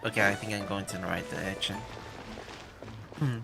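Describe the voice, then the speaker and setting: A man's voice: fairly low pitch, fairly even intonation, normal speed, vocal fry. A man casually livestreaming a game, talking in a hesitant, distracted way. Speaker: game streamer. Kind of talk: casual livestream chat and read-along. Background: game music.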